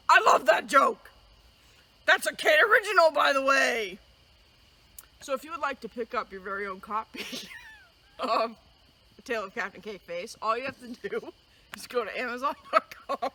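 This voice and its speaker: Bright, high-voiced woman hosting children's story time. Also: rough recording. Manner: exaggerated, playful, exclamatory. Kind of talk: theatrical children's storytelling, acted skits and jokes.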